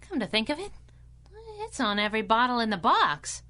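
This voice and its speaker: Tough wisecracking heroine for radio drama, highish voice, bouncy pitch, sardonic. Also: female voice, sing-song, brisk, pushed and bright. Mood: wry. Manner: wry, sardonic, colloquial. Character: sassy, tough, quick-witted female masked crime fighter and boss.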